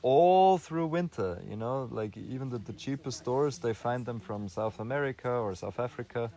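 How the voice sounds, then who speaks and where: A young man from Austria talks through his answers in a relaxed interview, his voice sitting at a middle pitch. He thinks out loud, restarting phrases and pausing on fillers as he goes. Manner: thoughtful, many restarts and fillers. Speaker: interview guest.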